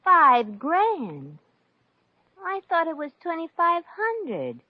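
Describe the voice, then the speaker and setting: A woman's voice: very high-pitched, wildly swooping pitch, slow, heavily acted. An actress playing a brassy, kind-hearted, wisecracking showgirl in the style of 1950s radio comedy, with wry, slangy delivery. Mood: candid. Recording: dull vintage radio recording, faint music.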